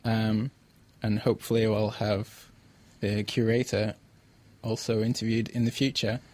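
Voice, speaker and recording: A man's voice: lowish voice, flat delivery, measured pace, darkish tone. A man hosting a casual interview and putting questions to his guest. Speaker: podcast host, interviewer.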